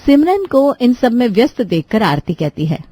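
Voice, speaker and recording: A woman's voice: a little high, very animated, moderate pace, warm tone, dry recording. A woman reading scripted narration for a children's moral story.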